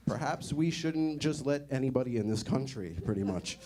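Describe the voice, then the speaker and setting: A man's voice: mid-range voice, animated, normal speed, dark tone. A young man doing a stand-up comedy set in a conversational, sardonic way, often asking the audience questions. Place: comedy club stage.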